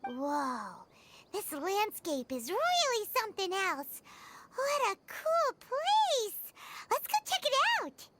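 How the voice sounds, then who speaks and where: A woman voicing a tiny, childlike, excitable fairy companion in a video game, squeaky, bubbly and blunt. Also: slow, exaggerated voice. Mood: excited. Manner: exclamatory, bubbly. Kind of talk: scripted video game dialogue. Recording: prominent game music behind the voice.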